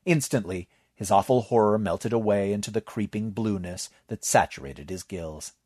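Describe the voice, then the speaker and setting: A man reading fiction aloud as narrator, with expressive storytelling. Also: lowish voice, normal speed, no room sound, clean studio sound.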